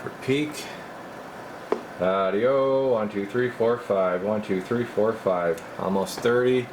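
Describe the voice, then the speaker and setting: A man working as a radio repair technician, giving casual, halting bench commentary as he mutters and thinks aloud. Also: lowish voice, noisy background. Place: indoor radio repair workbench.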